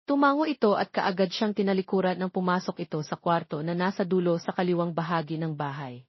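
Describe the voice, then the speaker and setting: Husky Filipino woman narrating a story. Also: lowish voice, fast. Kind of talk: scripted romance story narration. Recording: dull, roomy, lo-fi audio.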